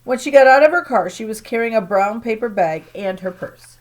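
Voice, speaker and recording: A woman teacher reading a children's novel aloud to her class in a plain, steady narrating voice. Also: a little high, brisk, low-quality recording.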